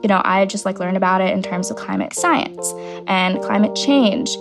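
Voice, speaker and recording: A woman's voice: medium pitch, normal speed, vocal fry, over music. A young woman from Brazil speaking reflectively in a casual interview.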